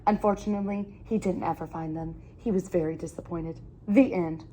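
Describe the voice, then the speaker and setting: A woman reading a children's picture book aloud in an animated, expressive storytelling manner with emphatic phrasing. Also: lowish voice, fairly quick, a little hiss or hum, poor audio.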